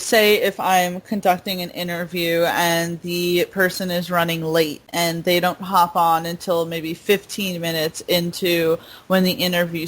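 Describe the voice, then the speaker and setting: A woman's voice: fairly low pitch, fairly bright tone. Giggly young woman interviewer. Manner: bubbly, giggly, asks questions in quick bursts. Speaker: interviewer, host. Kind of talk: casual interview chat.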